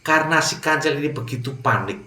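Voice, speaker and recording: A man's voice: fairly high pitch, fairly quick, sharp tone, echoey room, distant mic. A man narrating a fable for children as a storyteller, addressing his young listeners directly.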